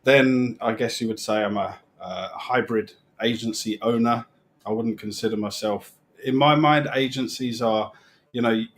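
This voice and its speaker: Middle-aged british guy rambling on a stream, trails off soft, bit of hiss behind. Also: medium pitch. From England. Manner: rambling, self-interrupting, trailing off quietly. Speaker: guest. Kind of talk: casual live-stream chat.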